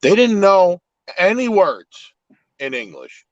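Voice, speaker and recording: Italian-first-language guy on a podcast, musing and rambling, kinda high voice, dry dull sound. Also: big pitch swings, slow, no echo. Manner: reflective, rambling with restarts. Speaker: podcast host. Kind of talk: casual live podcast chat.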